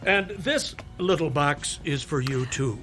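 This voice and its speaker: An actor playing a brisk, wry, persuasive hospital doctor in a radio drama, dry and insistent. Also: male voice, a little high, fairly bright tone, faint music behind.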